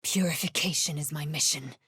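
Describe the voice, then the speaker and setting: An actress voicing a fierce, proud alien warrior woman in a fantasy video game, blunt and contemptuous, with husky, clipped, commanding, formal delivery. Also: deep voice, a little fast, a bit bright, no echo, full character voice. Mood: stern, commanding. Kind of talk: dramatic fantasy game voice lines.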